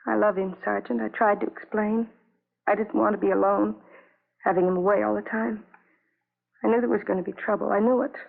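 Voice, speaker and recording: An actress playing an anguished, lonely young wife in a 1950s radio drama, speaking in halting, repetitive fragments on a vintage broadcast recording. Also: female voice, mid-range voice, steady tone, creaky, phone-quality sound. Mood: desolate, pleading.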